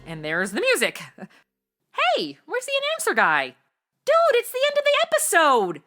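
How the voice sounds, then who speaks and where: Casting: actress as flippant young woman for audio comedy, wildly expressive and sharp, quick with sarcasm. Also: high-pitched, soft background music, heavily acted. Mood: flippant, complaining. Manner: sarcastic, exclamatory, interrupting. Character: sassy, flippant modern young woman crashing a detective serial. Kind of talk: comedy audio drama acting.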